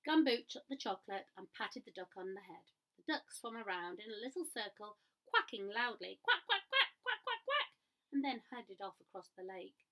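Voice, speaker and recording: A woman reading a picture book aloud to children in an expressive way, pausing to ask her listeners questions. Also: mid-range voice. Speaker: storyteller.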